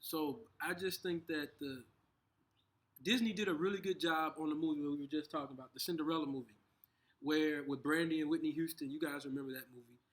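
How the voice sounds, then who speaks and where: A man co-hosting a casual conversation, talking in halting bursts with frequent restarts. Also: on the high side, steady tone, a bit bright. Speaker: co-host.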